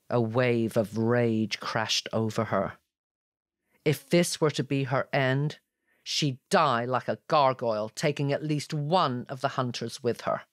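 A man's voice: medium pitch, no room sound, studio quality. A man reading scripted fantasy narration in a dramatic audiobook style.

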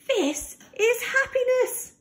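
A woman reading a picture book aloud to young children in a gentle, deliberate and expressive way. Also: very high voice.

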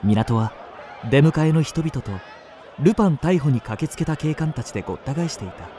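A man playing a gentleman who tells his own story in a dramatic radio play. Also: on the high side, animated, normal speed, slight background noise, close to an everyday voice. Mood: composed. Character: dramatic young gentleman narrator on the run. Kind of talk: first-person radio drama narration.

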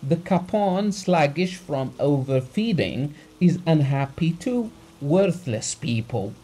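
A man reading a story aloud, voicing a furious, embittered country doctor who shouts with contempt. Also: high-pitched, lively intonation, takes it slowly, pitched above the performer's own voice, heavily acted, poor audio. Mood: contemptuous. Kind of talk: audiobook character reading.

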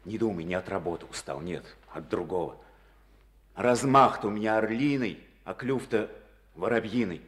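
A man's voice: on the low side, moderate pace, a bit bright, natural voice. An actor playing a tired, self-critical male district party chief who talks in a brooding, wry way in a Soviet radio play.

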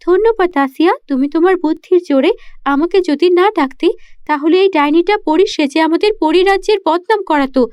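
A woman's voice: very high voice, moderate pace, darkish tone, no echo, studio quality, pitched above the performer's own voice. A woman voicing a protective, righteous fairy guardian in a children's cartoon story, speaking earnestly and firmly. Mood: grateful.

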